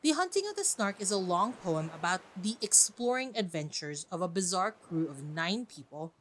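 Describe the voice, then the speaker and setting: Expressive woman hosting a literary podcast. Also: on the low side, moderate pace. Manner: chatty, expressive, addresses listeners directly. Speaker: podcast host. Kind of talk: podcast monologue on classic books.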